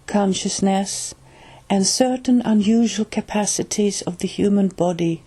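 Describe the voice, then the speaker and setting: A woman with a Finnish accent, Finnish being her first language, reading aloud from a spiritual book in a careful, halting way and restarting on hard words. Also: mid-range voice, warm tone.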